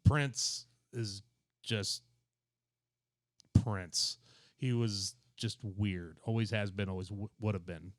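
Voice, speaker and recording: A man's voice: lowish voice, slow pace, pauses often, no echo, clean studio sound. Tipsy, crude-joking male podcast host. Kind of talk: casual chat.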